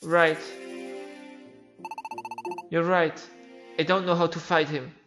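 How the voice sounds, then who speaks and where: A high-voiced man, not a native English speaker, acts out the lines of a video game story. His delivery is theatrical and a little halting, and music plays clearly behind him. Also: flat delivery. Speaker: game playthrough creator voicing all characters. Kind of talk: acted read-aloud of video game dialogue.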